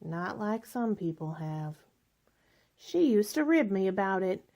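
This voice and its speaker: A woman's voice: medium pitch, sing-song, a little slow, warm tone, dull audio. A woman acting in a radio drama as a lonely, faded spinster who likes her wine, wistful, bitter and self-pitying.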